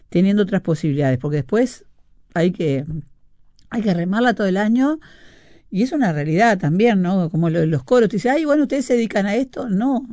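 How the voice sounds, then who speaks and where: A woman's voice: mid-range voice, warm tone, dry recording. A middle-aged woman with a Uruguayan Spanish accent hosting a casual radio show, chatting and reading out listener messages with frequent fillers and asides. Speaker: radio host.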